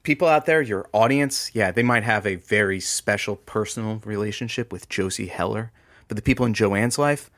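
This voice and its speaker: A man's voice: on the low side, moderate pace, a bit bright, close to an everyday voice. An actor playing a blunt, sarcastic coworker of a radio host, a man, in a naturalistic audio drama. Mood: exasperated.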